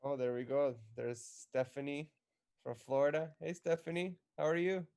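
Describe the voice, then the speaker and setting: Mellow-voiced man hosting a rally stream. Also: a little high, normal speed, creaky, clear, resonant tone. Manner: energetic, rallying. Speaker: live stream co-host.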